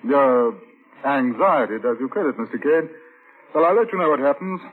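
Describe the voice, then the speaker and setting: An actor playing a patient, methodical British chief inspector in an old radio drama, polite, measured and dryly probing. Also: male voice, mid-range voice, expressive, narrow, tinny audio, natural voice. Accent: British English. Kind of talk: old radio drama acting and first-person narration. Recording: thin, noisy old radio recording.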